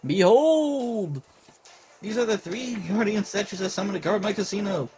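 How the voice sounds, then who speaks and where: A man's voice: high voice, lively intonation, moderate pace, voice pushed up. Man voicing an imperious, indignant female pharaoh. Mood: commanding. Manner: imperious, declamatory. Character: haughty, indignant female pharaoh guarding her treasure.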